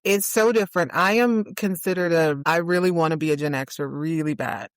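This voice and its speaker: A woman's voice: deep voice, normal speed, dry recording, clean studio sound. A woman in her forties hosting a podcast, chatting casually and reacting to her guest. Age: 40s. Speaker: podcast host.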